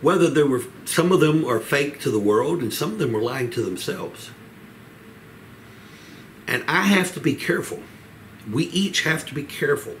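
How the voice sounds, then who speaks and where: Measured older man preaching, noisy recording. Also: mid-range voice. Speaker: pastor leading a Bible study. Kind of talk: Bible teaching, expository sermon. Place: small home office, indoors. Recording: clear background room noise.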